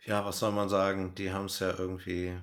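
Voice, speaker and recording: Low-voiced man interviewing casually. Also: monotone, fairly quick, fairly bright tone. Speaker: podcast host and interviewer. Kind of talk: casual podcast interview chat.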